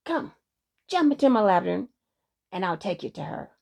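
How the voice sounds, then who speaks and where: A woman's voice: medium pitch, very animated, moderate pace, creaky, a little mellow. A woman voicing a friendly, earnest little lantern man, a helpful sprite in a children's storybook.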